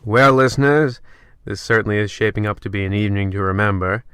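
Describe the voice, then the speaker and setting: Man playing a crisp, sly spooky radio host. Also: fairly low pitch, quick pace, a bit bright, soft background music, lightly acted. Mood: ominous, gleeful. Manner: ominous, teasing. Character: sly spooky male host of an old radio mystery show. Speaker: host narrator. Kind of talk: theatrical old-time radio horror narration.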